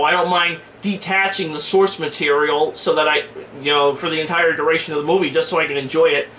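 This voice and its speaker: A man's voice: high-pitched, bright, forceful tone. A man giving a casual, blunt, slangy opinion rant as an online movie and game reviewer. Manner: informal, griping, blunt slangy delivery. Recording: echoey room with faint background noise.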